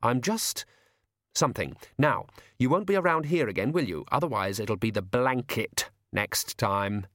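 A man's voice: medium pitch, lively intonation, unhurried, fairly bright tone, dry recording. Man voicing a firm, dry young governess. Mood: stern. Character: brisk, firm, menacing young governess woman. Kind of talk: audiobook character voice.

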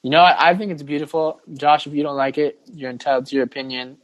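A man's voice: on the high side, steady tone, fast, no room sound, highs cut off. A man hosting a casual sports podcast, chatting informally and giving firm opinions. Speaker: podcast host.

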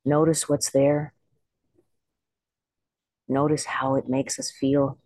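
A meditation teacher leading a guided body scan in slow, unhurried phrases with pauses. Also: medium pitch, little pitch movement, a little mellow, no echo.

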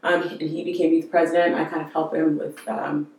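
A young woman chatting as a podcast guest about her church youth and worship work, quick to laugh. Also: deep voice, little pitch movement, a little mellow. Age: young adult. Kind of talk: casual podcast chat. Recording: very echoey room, far from mic.

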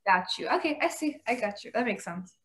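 A woman's voice: a little high, lively intonation, brisk, fairly bright tone. A young woman with an American accent, casually explaining her thinking and checking with questions. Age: young adult. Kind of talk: casual discussion.

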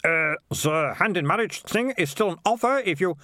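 A man's voice: high-pitched, lively intonation, moderate pace, pushed and bright, no room sound, clean studio sound, pitched above the performer's own voice. A man voicing a fussy, flustered storybook mayor, hesitant and penny-pinching, hemming and hawing. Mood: anxious, doubtful.

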